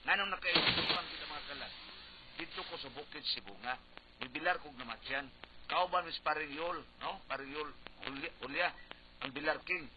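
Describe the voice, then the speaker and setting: A man's voice: a little high, normal speed, lightly acted. A man playing a stubborn, devout, overconfident husband in a Cebuano radio drama, protesting in a whiny way with a Cebuano Filipino accent. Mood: earnest, insistent. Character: stubborn, devout husband running for vice mayor. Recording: muffled, noisy radio broadcast.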